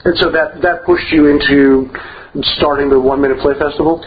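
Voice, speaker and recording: A man's voice: a little high, steady tone, a little hiss or hum. Easygoing male interviewer, lo-fi. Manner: easygoing, halting questions. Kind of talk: casual interview questions.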